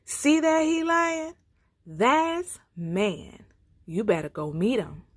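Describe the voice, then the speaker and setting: A woman's voice: on the high side, lively intonation, takes it slowly, dry recording, studio quality. A woman voicing a clever, bossy male rabbit from a children's folktale, coaxing and commanding. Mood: urging, bossy. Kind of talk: storybook character voice for children.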